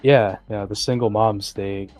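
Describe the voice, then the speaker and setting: A man joining a livestream as a guest, chatting casually in a halting way full of "like" and "um". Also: lowish voice, steady tone, a little mellow, soft background music. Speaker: stream guest. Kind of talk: casual chat.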